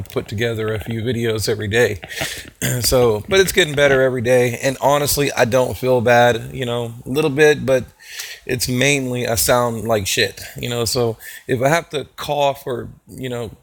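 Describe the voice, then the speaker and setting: A man with a hoarse voice from a cold, talking casually to his viewers as a channel host, rambling with many fillers and restarts. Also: medium pitch, fairly bright tone. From Texas. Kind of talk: casual talk to camera.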